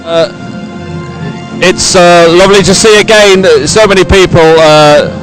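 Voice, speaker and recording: A man presenting a live sports broadcast, ad-libbing commentary in a halting, hesitant way. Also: sits high, faint music behind, low-quality recording. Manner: hesitant, halting ad-libbed delivery. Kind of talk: live unscripted event commentary.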